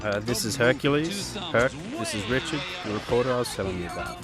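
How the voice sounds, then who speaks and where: A man's voice: medium pitch, animated, fairly quick, over music, close to an everyday voice. A man playing an earnest, slightly hesitant superhero team leader in a comedy radio play. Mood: awkward. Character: earnest, awkward male superhero, mild-mannered team leader.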